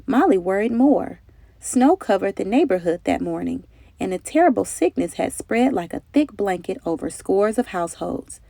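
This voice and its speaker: A woman's voice: medium pitch, animated, moderate pace, gravelly, dark tone, no room sound. A woman reading a children's story aloud in a dramatic, carefully phrased narrating style with emphatic delivery. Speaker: storybook reader.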